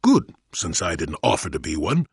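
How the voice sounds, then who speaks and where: Gravelly, cantankerous old hermit Jedi. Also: male voice, low voice, normal speed, dry recording, studio quality, exaggerated voice. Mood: gruff, teasing. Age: older. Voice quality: gravelly, husky. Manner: grumbling, sardonic, theatrically expressive. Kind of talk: video game character dialogue.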